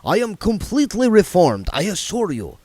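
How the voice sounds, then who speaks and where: A man's voice: sits high, wildly swooping pitch, normal speed, darkish tone, no room sound, voice pushed up, heavily acted. Man doing a nervous, formal vampire photographer. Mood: earnest. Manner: anxious, overly polite.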